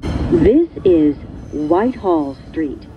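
A woman giving pre-recorded subway announcements in a measured, carefully enunciated, pieced-together delivery. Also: sing-song. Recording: muffled train speaker, vehicle noise. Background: subway car rumble.

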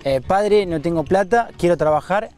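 A man's voice: sits high, expressive, normal speed, darkish tone. A man from Argentina asking casual, curious interview questions outdoors.

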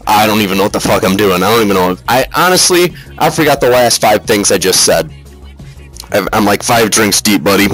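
A man rambles through a casual comedic review as the host of the video. His delivery is halting, sweary and mock-serious, and faint music plays behind him. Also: on the low side, expressive, a bit bright, rough recording. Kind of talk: casual comedic slideshow commentary.

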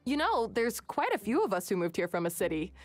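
A woman's voice: mid-range voice, sing-song, brisk, a little mellow, faint music behind. A woman playing a chatty, playful townsperson in a video game.

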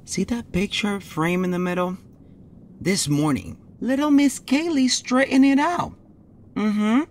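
A man's voice: sits high, a little slow, slight background noise. A man acting a theatrical, helpful friend who mixes Spanish into English in an audio drama. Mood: dramatic, eager.

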